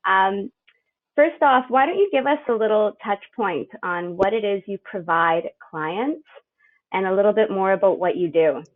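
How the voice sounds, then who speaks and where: A woman at mid pitch co-hosts a podcast and asks measured, structured questions. Her voice comes through thin and tinny, as if over a phone line. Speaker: podcast co-host. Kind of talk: interview hosting.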